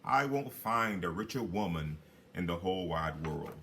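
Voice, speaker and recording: A man's voice: sits low, lively intonation, normal speed, fairly bright tone, a little hiss or hum, pitched below the performer's own voice. A man voicing a greedy, commanding king with an imperious manner in a fairy tale. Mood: calculating.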